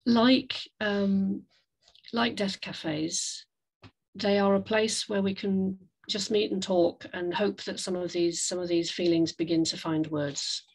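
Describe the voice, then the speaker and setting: A woman giving an online slide talk as a guest speaker over a video call, with hesitant, reflective, measured phrasing. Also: mid-range voice, steady tone, husky, poor audio. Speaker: guest speaker, climate café facilitator. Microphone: video call microphone.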